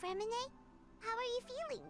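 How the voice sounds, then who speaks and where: An actress voicing a small, childlike, caring and excitable companion in a video game, with a squeaky, animated delivery. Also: female voice, fairly quick. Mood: concerned, relieved. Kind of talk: scripted video game dialogue. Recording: playful music behind the voice.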